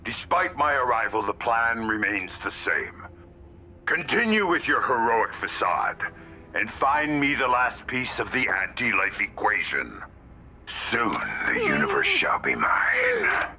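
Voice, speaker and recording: An imperious alien warlord for a game cutscene. The voice is slow and commanding, very tense and forceful, with huge swings in pitch and menace in the delivery. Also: male voice, wildly swooping pitch, slight background noise, full character voice. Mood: grandiose. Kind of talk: animated video game cutscene acting.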